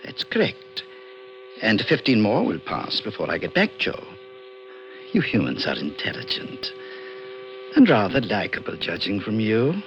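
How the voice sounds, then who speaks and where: An actor in an old radio drama playing a polite, serious alien visitor who speaks in a measured, precise, mildly condescending way. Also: male voice, on the low side, wildly swooping pitch, a bit bright, a little hiss or hum, full character voice. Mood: gently regretful. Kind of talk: 1940s-50s radio drama acting. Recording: muffled vintage radio recording, faint music.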